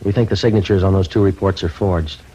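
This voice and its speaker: Deep, deadpan American detective played by a man, old radio. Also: fast, background noise, lightly acted. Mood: businesslike. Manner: deadpan, terse, matter-of-fact. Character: dry, businesslike male police detective narrating his case. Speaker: detective and narrator. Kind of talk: 1950s radio police drama, clipped procedural narration. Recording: dull 1950s radio recording with music.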